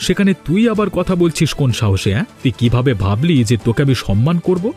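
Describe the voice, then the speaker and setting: Cartoon village husband male actor: mid-range pitch, proud, defiant, hard-working, emphatic delivery. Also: sing-song, fast talker, a little mellow, soft background music, natural voice. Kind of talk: cartoon voice acting.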